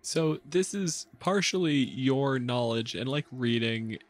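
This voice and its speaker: A man narrating a tabletop game as game master, telling it dramatically with halting pauses. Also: mid-range voice, lively intonation. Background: music.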